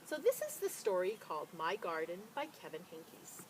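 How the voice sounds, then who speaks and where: A woman who works as a teacher librarian, talking and reading a picture book to children in an expressive, enthusiastic way. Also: lowish voice, moderate pace, a little hiss or hum, rough recording. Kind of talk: children's story read-aloud with casual introduction.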